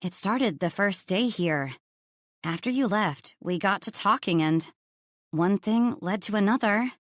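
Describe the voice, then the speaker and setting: A woman's voice: medium pitch, animated, unhurried, no room sound, studio quality, pitched above the performer's own voice, lightly acted. Woman as a coy, smitten romance heroine.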